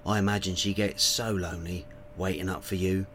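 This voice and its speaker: A menacing radio drama villain with a deep voice. He is falsely courteous and insinuating, a blackmailer toying with his mark. A low rumble sits faintly underneath. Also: steady tone, brisk, a bit bright. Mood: mocking menace. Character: smooth, menacing male blackmailer, mockingly polite. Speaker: actor in audio drama.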